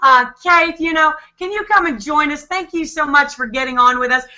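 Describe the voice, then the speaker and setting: A woman's voice: high-pitched, normal speed, bright, forceful tone. A woman hosting an online panel call, upbeat and full of effusive praise for her guests. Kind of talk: upbeat network marketing hosting. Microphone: video call. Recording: lo-fi video call audio.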